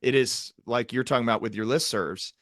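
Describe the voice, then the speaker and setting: A man's voice: medium pitch, a little fast, fairly bright tone, no echo, studio quality. An American man hosting a podcast in a casual, conversational way. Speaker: podcast host, psychiatric practitioner.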